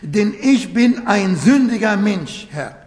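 A man with a fairly high voice preaches slowly and emphatically in a language that is not his first, stumbling over small points of grammar. His words ring out with a long reverb, as if he stands in a large church hall. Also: expressive, a little mellow. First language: not German. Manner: non-native German with grammar slips, slow emphatic preaching. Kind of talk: sermon.

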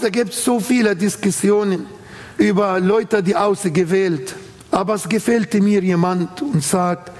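A man's voice: high-pitched, a little mellow, in a large hall. A man with an Arabic accent preaching an earnest sermon in a church setting, quoting scripture with emphasis.

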